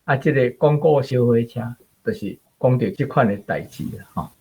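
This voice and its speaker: 一位台灣口音的男性文學評論者，以閒談口吻解說故事，嗓音沙啞。. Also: male voice, mid-range voice, normal speed, a little mellow, breathy edge, low-quality recording. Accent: Taiwanese. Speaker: literary commentator. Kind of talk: conversational explanation of a story.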